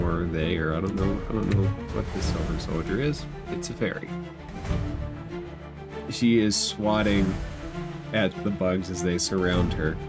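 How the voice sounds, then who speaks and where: A man narrating a tabletop fantasy game as game master, improvising descriptions and rulings for the players. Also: low-pitched. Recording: background instrumental music under voice.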